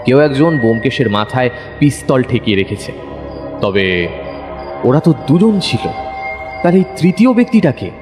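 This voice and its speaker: A man narrating a detective audio story in a dramatic, suspenseful, expressive scripted style. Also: mid-range voice, moderate pace, long pauses. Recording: long reverb, music under narration.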